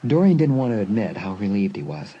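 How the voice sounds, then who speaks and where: A man's voice: lowish voice, sing-song, a little fast, warm tone. A man narrating a scripted suspense story for a dramatised audiobook. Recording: dull lo-fi sound, clear background noise.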